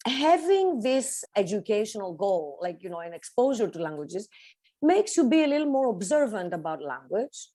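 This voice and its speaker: A middle-aged woman with a Greek accent talks as an interview guest, laying out her ideas in a careful, explanatory way. She pauses often with a soft "uh" while she gathers her thoughts. Her voice sits at an ordinary middle pitch. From Greece. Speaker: linguistics professor, guest. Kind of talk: conversational interview.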